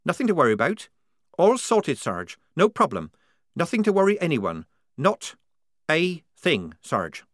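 A man's voice: a little high, wildly swooping pitch, a bit bright, no room sound, studio quality, voice pushed up, heavily acted. A man doing an anxious young woman soldier. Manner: urgent, talking fast to cover up.

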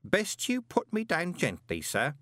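A man's voice: fairly high pitch, wildly swooping pitch, unhurried, fairly bright tone, no echo, studio quality, pitched above the performer's own voice, exaggerated voice. A man voicing an anxious, deferential old postman in an audiobook, with a placating, hesitant manner. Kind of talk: audiobook character voice.

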